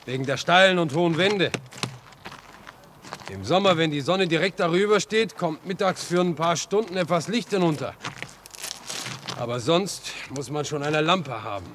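An actor in a German radio drama playing a folksy, helpful railway line inspector who likes to chat and caution. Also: male voice, a little high, moderate pace, pauses often, natural voice. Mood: matter-of-fact, cautioning. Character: chatty, obliging railway line inspector guiding a stranger. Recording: noisy old radio play recording.

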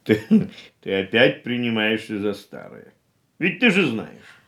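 An actor playing a fussy, thrifty older uncle in a radio drama, grumbling and admonishing. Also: male voice, lowish voice, sing-song, measured pace, fairly bright tone, full character voice. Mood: amused reproach. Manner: grumbling, chuckling, hemming, admonishing.

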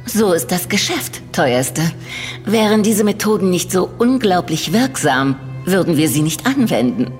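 Low-voiced, haughty villainess in reverb. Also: female voice, lively intonation, a little slow. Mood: smugly cynical. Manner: condescending, sardonic. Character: cold, haughty female industry villain, cynical and defiant. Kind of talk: German audio drama acting. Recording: reverberant hall with music underneath.

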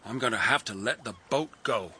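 A frustrated police inspector in a radio drama. His English-accented voice is tense and forceful at a middle pitch, blunt in delivery, over faint background noise. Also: fairly quick. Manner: blunt, exasperated.

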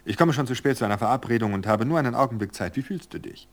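A man voicing a cheerful, flighty society woman in an audiobook, speaking brightly and breezily. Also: mid-range voice, expressive, rapid-fire, voice pushed up, lightly acted. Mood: breezy cheerful. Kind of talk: audiobook character voice.

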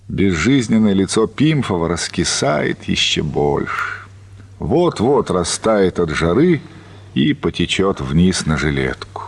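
A man's voice: deep voice, gravelly, husky. A man reading literary narration as the storyteller of a radio play.

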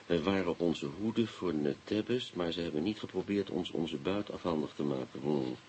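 An actor playing a shrewd police inspector in a radio play, asking clipped, politely insistent questions and muttering to himself. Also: male voice, sits low, moderate pace, a little mellow, lightly acted. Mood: musing, muttering. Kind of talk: Dutch radio drama acting. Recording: dull, noisy old radio recording.

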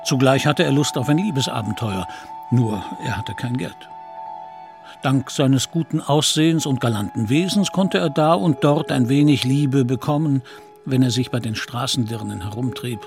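Male storyteller for audio drama, medium pitch, scripted literary delivery with music clearly underneath. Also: moderate pace, a little mellow. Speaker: narrator. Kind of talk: scripted literary narration for a radio play.

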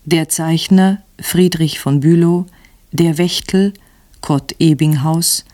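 A woman's voice: low voice, fairly even intonation, takes it slowly, warm tone. A woman working as a radio announcer, formally reading scripted credits.

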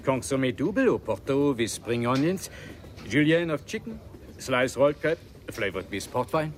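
An actor in a radio play playing a polite, formal waiter who recites in several languages. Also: male voice, mid-range voice, lively intonation, moderate pace, a little hiss or hum, lightly acted. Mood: formal, attentive. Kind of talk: 1990s German radio play acting.

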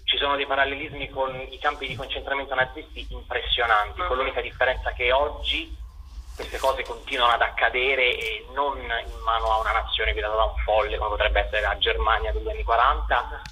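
A young man speaks in a very bright, forward voice over some background noise. He gives long, earnest interview answers as a theatre director, carefully worded and articulate. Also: mid-range voice, level tone, brisk, poor audio. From Italy.

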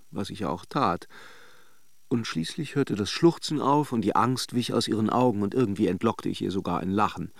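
An actor playing a worldly, ironic middle-aged man who recalls an affair in a radio drama, with deliberate hesitations. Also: fairly low pitch, creaky, dry recording. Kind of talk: radio drama monologue, narrated as a confession.

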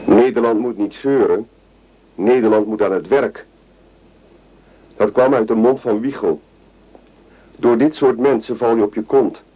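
A man's voice: fairly low pitch, warm tone, slightly rough, muffled recording. An actor playing an indignant man with grievances in a radio play monologue. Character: indignant man complaining about politicians ignoring him.

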